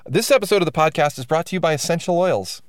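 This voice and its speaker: A man's voice: on the high side, lively intonation, fast, no room sound, studio quality. A man hosting his own podcast, sounding stuffed up from allergies, talking in a wry, self-deprecating ramble full of restarts. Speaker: podcast host. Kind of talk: casual rambling monologue.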